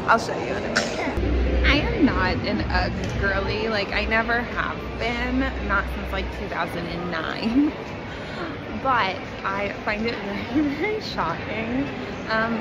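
A young woman talks to her camera in a chatty vlog style with a lively, moving pitch. The handheld recording carries very loud background noise. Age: young adult. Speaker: vlogger. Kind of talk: casual chatty vlog talk. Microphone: handheld camera or phone at arm's length.

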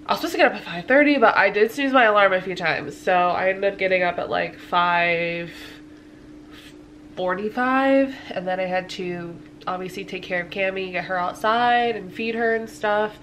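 A woman's voice: mid-range voice, moderate pace, fairly bright tone, slight background noise. A woman in her twenties chatting casually to camera in a home vlog, a little stuffy-sounding, with lots of fillers and little laughs. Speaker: lifestyle vlogger. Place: living room at home.

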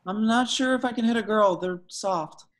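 A man's voice: sits high, normal speed, lightly acted. A man playing a sheepish, hapless young slacker in a table read over a video call.

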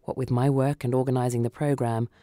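Low-key woman narrator with a mellow voice. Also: little pitch movement, fast, dry recording, studio quality. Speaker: story reader. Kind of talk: scripted first-person short story narration for radio.

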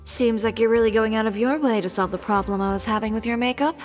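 A woman's voice: a little high, fairly even intonation, fast talker, long reverb tail, faint music behind, natural voice. An actress voicing a blunt, shrewd young woman in a video game, with a wry, teasing, direct delivery. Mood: wry, sceptical.

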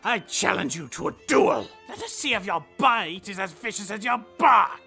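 A man voicing a pompous, thin-skinned, gaunt villainous knight in an anime parody dub, gravelly and theatrical. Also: sits high, animated, normal speed, bright, forceful tone, faint music behind, full character voice. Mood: menacing, theatrical.

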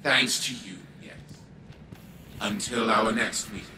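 An actor playing an arrogant old scientist's AI in a video game, speaking with pompous, formal condescension. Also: male voice, fairly low pitch, fairly even intonation, slow, background noise. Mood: grudging. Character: arrogant old male scientist's AI, imperious and disdainful. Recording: game audio under loud music.

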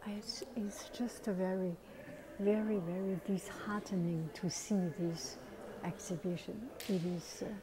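Middle-aged woman, slow and deliberate, hushed critical museum commentary, gallery crowd murmuring behind. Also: medium pitch, steady tone, rough recording. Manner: critical, hesitant, whispering at times. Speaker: vlogger touring a museum. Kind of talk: casual spoken commentary. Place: indoor art museum gallery. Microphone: earphone mic, phone camera held at arm's length.

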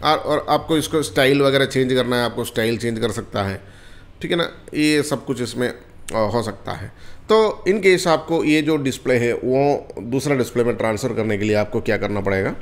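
A man demonstrating software step by step in a casual tutorial, often calling viewers friends and checking in with them as he goes. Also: mid-range voice, lively intonation, moderate pace. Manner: repetitive, addresses viewers as friends, many fillers. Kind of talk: screen-recorded software tutorial.

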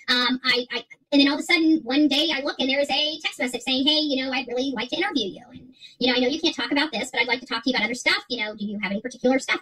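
A woman's voice: high-pitched, bright, forceful tone, husky, poor audio. A middle-aged woman chatting casually to livestream viewers as host, emphatic, repeating herself and rambling. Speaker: livestream creator and channel host. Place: small furnished room at home, indoors.

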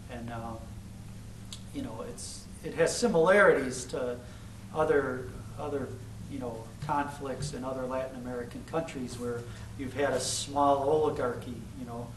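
A man's voice: mid-range voice, steady tone, normal speed, slight background noise. A man in his forties with a Chicago accent, giving an informal lecture and taking questions, talking in a loose, conversational way full of "you know". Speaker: guest lecturer. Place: large lecture room, indoors. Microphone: far camera, podium mic. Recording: roomy hall from far off.

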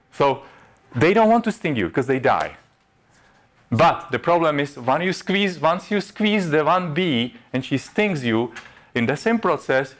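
A man in his forties with a Czech accent, giving a chatty, self-mocking talk at a workshop, heard from a camera at the back of a classroom. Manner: chatty, self-mocking, rises in pitch when animated. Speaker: workshop presenter. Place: classroom in front of a projection screen. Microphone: far camera.

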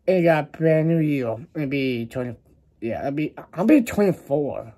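A man in his early twenties chatting casually to a vlog audience in a halting, rambling way, often repeating words and short phrases. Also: on the high side, lively intonation, mellow. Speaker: vlogger. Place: small laundry room beside a kitchen at home, indoors. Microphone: phone at arm's length.

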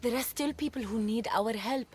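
A woman playing a composed, caring adult daughter in a video game cutscene, speaking in a measured, earnest way. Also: fairly high pitch, a little mellow, slightly rough. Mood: earnest, concerned.

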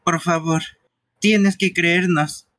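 A man dubbing game dialogue live in an amateur, playful, teasing way, with a husky voice. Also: sits high, fairly even intonation, takes it slowly, a bit bright, dry recording.

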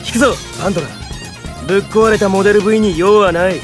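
Casting: male actor as arrogant game villain, rough drawl, menacing taunts, music behind. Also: sits high, quick pace, lightly acted. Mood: taunting, menacing. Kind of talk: Japanese game audio drama acting.

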